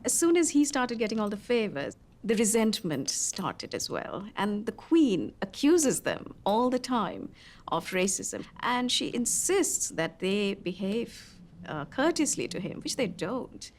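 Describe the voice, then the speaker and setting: A woman's voice: medium pitch, big pitch swings, measured pace. A woman explaining history as an interviewed expert, in short, emphatic phrases.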